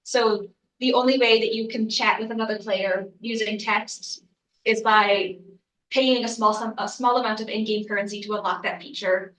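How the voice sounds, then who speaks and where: A woman's voice: mid-range voice, fairly even intonation, moderate pace, a bit bright, echoey room, recorded from a distance, low-quality recording. A young adult woman, congested from a cold, giving an earnest student research presentation with frequent ums and uhs. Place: lecture room.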